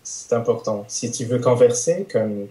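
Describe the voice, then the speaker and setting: Canadian french guy talking slow, lots of restarts, mellow low-key voice. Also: animated. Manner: hesitant, many restarts and fillers, searching for words. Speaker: podcast guest, polyglot. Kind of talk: casual conversation.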